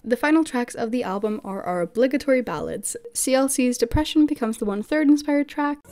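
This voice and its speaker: A woman's voice: high voice, brisk. A woman giving a scripted, opinionated voice-over about her fan picks for a music group. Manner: opinionated, chatty, fan-enthusiast delivery. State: recovered from losing her voice. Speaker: K-pop fan video creator.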